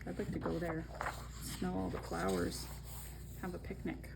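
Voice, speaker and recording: A woman hosting a children's story time, reading and chatting in an expressive, conversational way straight to young viewers. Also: fairly low pitch, unhurried, vocal fry, heavy background noise. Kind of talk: picture-book read-aloud with chatty asides.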